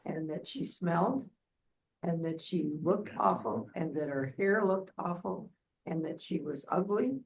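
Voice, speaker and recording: A woman's voice: lowish voice, expressive, unhurried, poor audio. An older woman reflecting earnestly on a story in a casual book discussion. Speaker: book club member.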